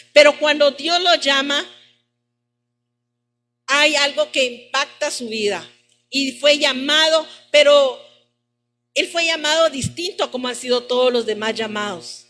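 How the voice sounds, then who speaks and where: A woman's voice: high-pitched, sharp tone. A woman preaching a teaching sermon, addressing her congregation and repeating phrases for emphasis. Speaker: preacher at a church service. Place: church service, live-streamed.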